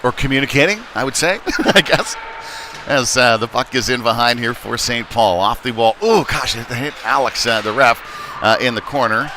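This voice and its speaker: Male play-by-play announcer for youth hockey, folksy and conversational with halting delivery, recorded amid noticeable arena noise. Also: medium pitch. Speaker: play-by-play commentator. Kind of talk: live youth hockey commentary. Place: indoor ice rink during a game. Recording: noisy arena, clear rink ambience behind.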